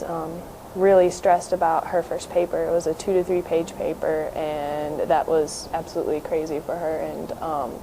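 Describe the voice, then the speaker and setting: A woman's voice: on the low side, noisy background. A young woman, a writing tutor, casually telling a personal anecdote.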